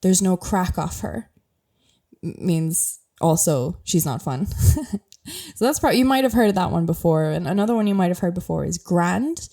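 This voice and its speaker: A woman's voice: lowish voice, expressive, normal speed, dark tone. A young Irish woman with a mild Irish accent hosting a podcast, chatting casually while sick with a cough and laughing often as she explains things. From Ireland. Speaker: podcast host.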